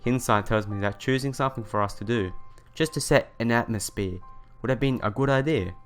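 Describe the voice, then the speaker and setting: A man's voice: mid-range voice, a little fast. A young man reading visual novel narration aloud as a let's player. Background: music behind the voice.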